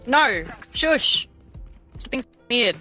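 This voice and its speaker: A woman's voice: on the high side, big pitch swings, extremely slow, pushed and bright, soft background music. A woman on a game voice chat, curt and dismissive. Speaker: gamer on voice chat in a played clip.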